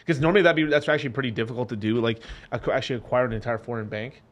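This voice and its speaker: Fast-talking laid-back young man host. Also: medium pitch, fairly even intonation, crowd noise. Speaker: crypto show host. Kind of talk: casual podcast chat.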